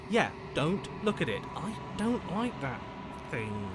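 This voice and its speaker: An actor playing a timid, frightened inner voice in a game, hesitant and pleading. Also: male voice, a little high, big pitch swings, slow, background noise. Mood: pleading, dread. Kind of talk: dramatic game voice acting.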